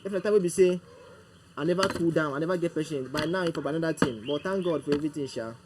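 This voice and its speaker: A man speaking in a Nigerian Pidgin accent, quiet and earnest. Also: a little high, fast. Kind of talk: casual talk. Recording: noisy, off-mic.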